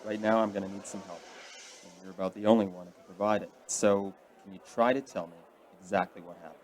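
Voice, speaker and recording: An actor playing a calm, middle-aged investigator who coaxes out a statement in a patient, folksy way, in a radio drama. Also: male voice, low voice, quick pace, natural voice. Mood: reassuring. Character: calm, fatherly older male investigator taking a statement.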